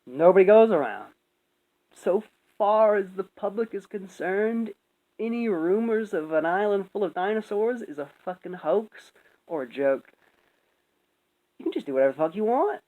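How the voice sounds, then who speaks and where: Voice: mid-range voice, narrow, tinny audio. A speaker giving casual, opinionated commentary in a rambling, emphatic, sweary way. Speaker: video commentator.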